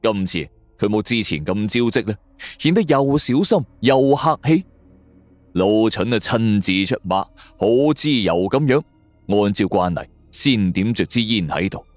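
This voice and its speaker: A man's voice: fairly low pitch, animated, unhurried, no echo. A man with a Hong Kong Cantonese accent narrating a detective story dramatically in the first person. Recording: background music under voice. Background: music.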